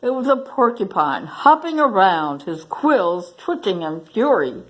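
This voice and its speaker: A woman's voice: mid-range voice, very animated, measured pace, rough recording. A woman reading a children's storybook aloud as a library story reader, expressively addressing young listeners.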